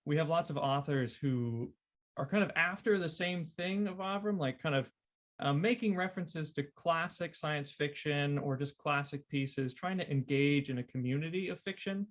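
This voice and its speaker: A man's voice: fairly high pitch, normal speed. A man talking casually on a podcast in an encouraging, agreeable way.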